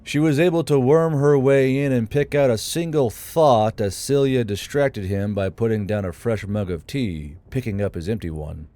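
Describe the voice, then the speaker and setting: A man's voice: mid-range voice, normal speed, few pauses, warm tone, dry recording. A man reading scripted narration for a fantasy audiobook as its narrator.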